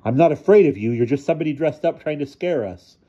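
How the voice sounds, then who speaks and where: Man as a loud, bossy boy, pitched up. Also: lively intonation, quick pace, highs cut off. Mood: scared bluster. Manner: loud, demanding, blustering. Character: bossy, hot-tempered boy bully and show-off. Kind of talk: audiobook character voice.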